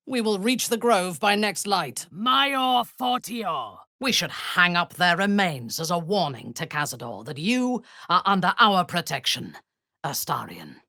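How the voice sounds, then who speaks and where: A woman playing a ruthless, imperious warrior commander of a dark cult in a fantasy video game, cold, commanding and disdainful. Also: lowish voice, sing-song, unhurried, no room sound, clean studio sound, full character voice. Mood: forceful spellcasting.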